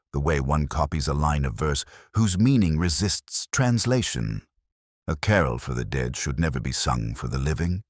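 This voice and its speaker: A man narrating a scripted first-person detective story in a measured, literary manner. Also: extremely low pitch, no room sound, studio quality.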